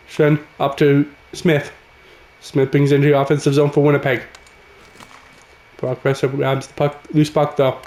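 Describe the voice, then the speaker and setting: A man, raspy from a cold, giving casual play-by-play commentary over a hockey video game. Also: fairly high pitch, reverberant. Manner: excitable, calls the action as it happens. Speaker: gaming channel host.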